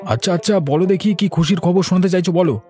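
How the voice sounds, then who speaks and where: A man's voice: high-pitched, fast talker, mellow, lightly acted. A man playing a sarcastic, grumbling poor farmer and long-suffering husband in a cartoon. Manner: sarcastic, complaining. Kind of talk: Bengali cartoon dialogue. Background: background music.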